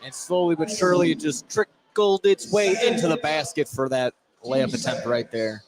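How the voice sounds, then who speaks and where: A man's voice: a little high, moderate pace, a crowd in the background. A man giving color analysis on a live basketball broadcast in a conversational, slightly rambling way. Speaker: color commentator. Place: indoor basketball gym. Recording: noisy live gym broadcast.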